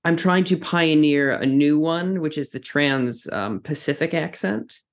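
A woman from North America with a notably low voice hosts a relaxed podcast chat. She jokes drily, riffs on ideas and laughs easily at her guest. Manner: dry, joking, quick to laugh. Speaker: podcast host. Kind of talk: casual chat.

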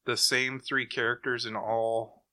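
A man's voice: mid-range voice, little pitch movement, measured pace, bright, forceful tone, dry recording. A man co-hosting a podcast in a casual, chatty style. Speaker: podcast co-host. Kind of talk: casual chat.

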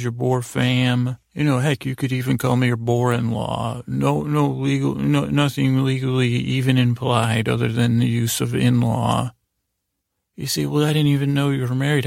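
A man with a gravelly voice hosting a sleep podcast, rambling whimsically and stammering as he repeats and plays with words. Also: mid-range voice, little pitch movement, moderate pace, a little mellow, no echo, clean studio sound. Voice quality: gravelly, creaky. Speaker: podcast host telling bedtime stories.

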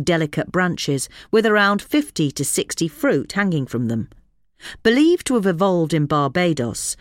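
A woman's voice: deep voice, no room sound, studio quality. A woman reading a gardening article aloud as a scripted magazine narration.

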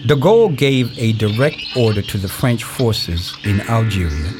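A man playing a grave narrator of a historical radio play, reading scripted narration in a measured, deliberate, formal way with pauses. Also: on the low side, lively intonation. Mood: solemn, factual. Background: music.

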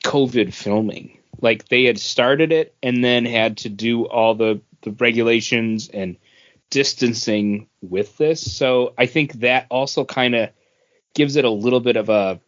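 A man's voice: medium pitch, unhurried. A man co-hosting a podcast, sharing opinions on film and TV in casual chat over a voice call. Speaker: podcast co-host. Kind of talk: casual movie and TV review chat. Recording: muffled, dull voice-call audio.

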